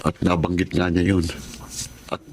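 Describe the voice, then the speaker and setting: Low-voiced young Filipino suitor. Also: male voice, completely flat delivery, moderate pace, darkish tone, slight background noise. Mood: let down, concerned. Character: earnest young male suitor, rejected yet caring. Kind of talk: Tagalog radio drama acting.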